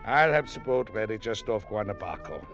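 An actor playing a shrewd, unflappable boat captain, terse and dryly goading, in an old radio drama. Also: male voice, fairly low pitch, big pitch swings, fairly quick, highs cut off. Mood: shrewd bargaining. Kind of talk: 1940s-50s radio drama acting. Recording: old radio broadcast with music.

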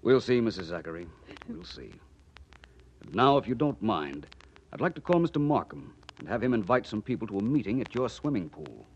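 An actor playing a suave, self-assured male detective who explains things politely and deliberately in 1940s radio drama style. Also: fairly low pitch, sing-song, darkish tone. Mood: probing. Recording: muffled, noisy 1940s radio recording.

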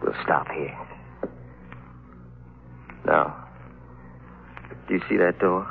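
A man's voice: low voice, drawn-out words, gravelly, slight background noise, heavily acted. An actor playing a frightened, paranoid young man in an old radio drama, talking urgently. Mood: hushed. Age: young adult. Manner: urgent, rising to near-shouts when frightened. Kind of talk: 1930s radio drama acting. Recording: muffled old radio broadcast.

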